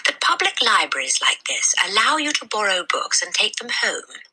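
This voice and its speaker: A woman voicing a kindly, concerned village librarian with a gentle, swooping delivery in a children's storybook reading. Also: mid-range voice, big pitch swings, moderate pace, slightly rough, voice pushed up, heavily acted. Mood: kindly, helpful. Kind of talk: children's storybook read-aloud.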